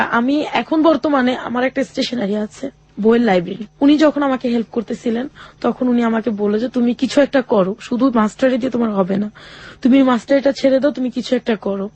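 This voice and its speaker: A woman, an interview guest, telling her own hard life story in a halting, earnest way and often quoting what others said to her. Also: a little high, a little mellow, breathy edge, no echo.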